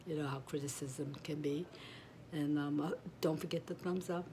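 A middle-aged woman with a notably low, nasal voice that sounds a bit stuffy. She rambles casually and warmly through a home tutorial, trailing off with fillers. The camera mic sits back in a room with a faint background hiss. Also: fairly even intonation, moderate pace. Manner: chatty, meandering, trails off with fillers. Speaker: crochet vlogger.

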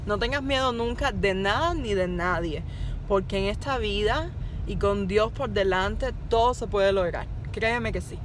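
A woman's voice: fairly high pitch, a bit bright. A young woman from Cuba with a Cuban Spanish accent, chatting casually to her phone camera while driving, rambling and upbeat. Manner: rambling, upbeat and encouraging, laughs at herself. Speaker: vlogger. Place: inside a car, parked then driving at dusk. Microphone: phone camera held at arm's length. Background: faint car and road noise.

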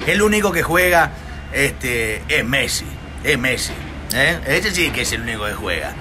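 Casting: Argentine-accented male pastor, forties, loose and joking livestream banter, mid pitch, phone held at arm's length outside with steady street noise. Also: normal speed. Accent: Argentine Spanish. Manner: chatty, jokey, exclamatory with frequent "eh" tags. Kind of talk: casual livestream chat. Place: outdoor terrace in hot sun.

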